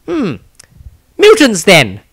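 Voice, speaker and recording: A man's voice: high-pitched, wildly swooping pitch, slow pace, fairly bright tone, pitched above the performer's own voice, heavily acted. A man voicing an eccentric, grumbling wizard diarist in a fantasy story, theatrical, rambling and wry. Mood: indignant, chatty. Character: eccentric, grumbling, self-satisfied male wizard adventurer diarist.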